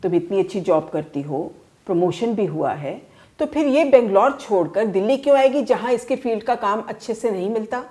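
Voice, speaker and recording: A woman's voice: mid-range voice, expressive, moderate pace, dark tone, natural voice. An actress playing a teasing, motherly middle-aged mother who mixes in English phrases, in a home living room. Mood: firm. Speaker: groom's mother. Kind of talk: scripted short-film acting.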